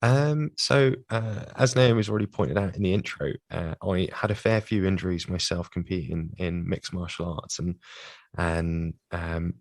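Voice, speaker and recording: A young British man, a physiotherapist, answering interview questions in a measured way, with many fillers and restarts. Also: low-pitched, dry recording. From United Kingdom. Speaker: guest, physiotherapist.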